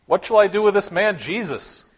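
A man playing a forceful, exasperated Roman governor in a dramatic scripture reading, declaiming in a commanding, emphatic way. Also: high voice, animated, moderate pace, a little hiss or hum.

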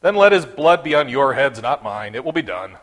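A man playing a forceful, exasperated Roman governor in a dramatic scripture reading, declaiming in a commanding, emphatic way. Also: mid-range voice, sing-song, moderate pace.

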